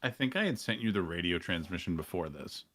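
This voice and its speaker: A man's voice: sits low, animated, brisk, fairly bright tone, no room sound. A man chatting casually as a guest on a gaming podcast. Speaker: podcast guest and game streamer.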